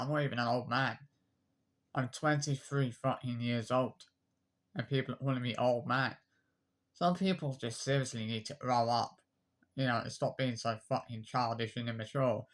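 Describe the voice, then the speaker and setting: A man, ranting defensively to camera, repeating himself and listing insults. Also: mid-range voice. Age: 20s. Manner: repetitive, restarts phrases, lists insults; aggrieved, defensive. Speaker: YouTube commenter. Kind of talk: unscripted rant to camera.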